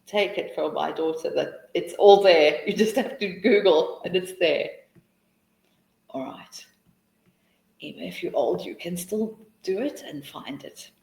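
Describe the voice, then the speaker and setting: A woman's voice: fairly low pitch, measured pace, vocal fry, darkish tone, roomy sound, poor audio. A South African woman hosting a live teaching webinar, answering questions in a chatty, encouraging way with many restarts.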